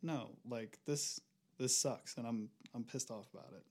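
A young man speaking as a podcast guest, reflective and hesitant, working through his thoughts with frequent pauses. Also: medium pitch, darkish tone. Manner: reflective, hesitant, many fillers and restarts. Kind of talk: casual conversation.

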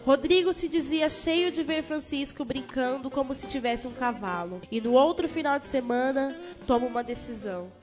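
A woman's voice: sits high, normal speed, few pauses, natural voice. A woman with a Brazilian accent as the storytelling narrator of a scripted radio drama. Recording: prominent music behind the voice.

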